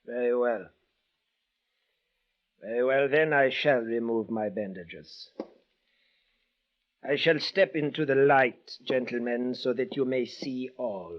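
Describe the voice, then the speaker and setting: A man's voice: mid-range voice, takes it slowly, pauses often, close to an everyday voice. An actor playing a bitter, disfigured man bent on revenge, with formal, intense theatrical speech in 1940s radio drama style. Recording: muffled old radio broadcast.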